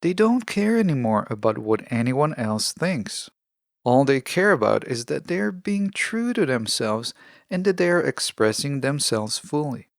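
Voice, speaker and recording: A man hosting a podcast, delivering a reflective scripted monologue in measured, earnest, deliberate short statements. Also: mid-range voice, very animated, vocal fry, dry recording, studio quality. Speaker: podcast host.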